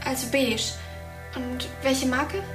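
A woman's voice: sits high, steady tone, takes it slowly. An actress playing an indignant young schoolgirl, blunt and insistent, in a naturalistic radio drama with roomy, distant sound. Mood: accusing. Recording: roomy, distant, music underneath.

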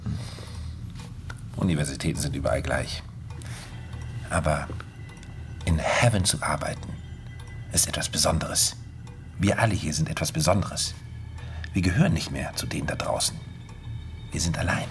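A very low male voice plays an insinuating mentor and psychologist in a radio drama. He weighs each phrase and pauses deliberately, controlling and smooth. Faint music runs underneath. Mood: smoothly probing. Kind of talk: German radio drama acting.

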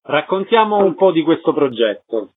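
A man's voice: a little high, sing-song, moderate pace, slight background noise. A man presenting a radio programme and putting questions to guests, heard through a narrow phone-like sound. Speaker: radio host and interviewer.

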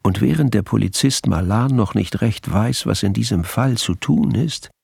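A man narrating a literary radio play as its storyteller, with a gravelly, husky voice. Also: on the low side, animated, normal speed, a little mellow, no room sound, clean studio sound, full character voice.

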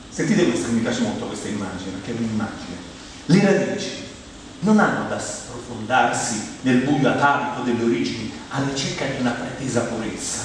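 A man's voice: medium pitch, slight background noise. A man from Rome with a Roman Italian accent, delivering a humorous theatrical monologue in a conversational, colloquial manner full of asides. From Rome, Italy. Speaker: stage monologist. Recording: very echoey hall, distant mic, music. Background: plucked guitar music.

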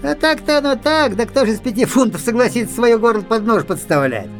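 Casting: a talkative, wary rustic coachman for audio drama, high and very lively, over music. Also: brisk. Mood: wary, emphatic. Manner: folksy, colloquial, animated. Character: country coachman, wary, talkative, gossiping about a convict.

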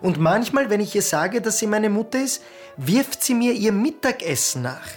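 A performer playing a patient adult son visiting his mother in a radio play, speaking gently and calmly. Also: mid-range voice, normal speed, faint music behind, close to an everyday voice.

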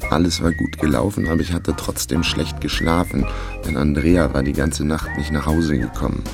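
A weary, sly small-time investigator in a German radio crime play. The voice is very deep and gravelly, dry and laconic, with music underneath. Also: male voice, fairly even intonation, normal speed. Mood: dry, matter-of-fact. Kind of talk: German radio crime drama, first-person narration and dialogue.

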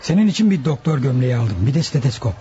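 An actor playing a confident, commanding leader of hired killers in a Turkish radio drama. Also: male voice, mid-range voice, expressive, dark tone, lo-fi sound, natural voice. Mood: confident, plotting. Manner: brisk, commanding. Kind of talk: Turkish radio theatre acting. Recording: noisy old radio drama recording.

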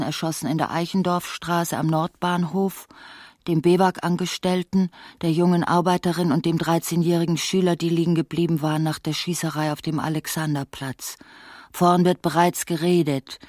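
A woman's voice: on the low side, darkish tone, dry recording, clean studio sound, close to an everyday voice. A woman narrating a literary radio drama, telling of events in a city.

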